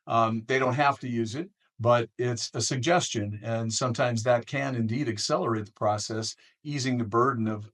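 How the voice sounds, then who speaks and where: An American man with a medium-pitched voice hosts a conversational interview. He phrases his points carefully and somewhat haltingly, in a deliberate manner. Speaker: interview host.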